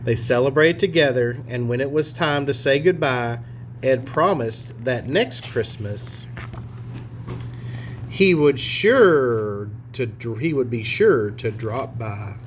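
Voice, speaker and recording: A man reading a picture story aloud to children in an expressive storytelling style. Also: mid-range voice, slow, slight background noise. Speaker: storybook reader.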